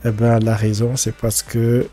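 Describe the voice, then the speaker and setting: A man with a head cold giving casual, halting commentary as he streams a football video game, often repeating his words as he thinks aloud. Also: lowish voice, completely flat delivery, warm tone, faint music behind. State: congested with a cold. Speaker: gaming streamer.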